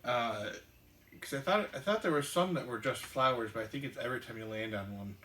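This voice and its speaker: A man hosting a board game show at a home table, talking through the rules in a halting, stop-start way. his voice has a bright, forward edge. faint noise sits under it. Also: mid-range voice, roomy sound. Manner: halting, many ums and restarts. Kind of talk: casual rules explanation and chat.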